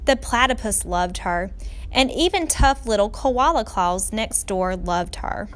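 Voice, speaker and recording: A woman reading a children's storybook aloud like a teacher, with expressive, deliberate, dramatic phrasing for young listeners. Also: medium pitch.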